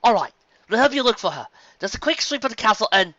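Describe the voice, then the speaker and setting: A man's voice: sits high, sing-song, quick pace, sharp tone, no room sound, highs cut off, pitched above the performer's own voice, exaggerated voice. A man voicing an earnest, determined young farmer mare in a story, with a pleading, insistent manner.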